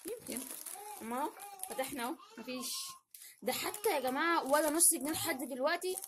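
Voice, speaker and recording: A woman with an Egyptian Arabic accent chatting casually as host of an unboxing vlog, repeating phrases for emphasis in an animated way. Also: high voice, quick pace. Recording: noisy home recording, crinkling packets.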